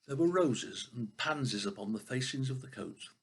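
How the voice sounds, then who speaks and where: An older man reading a children's story expressively, with a husky voice and the odd stumble over a word. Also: mid-range voice, animated, normal speed. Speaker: storybook reader.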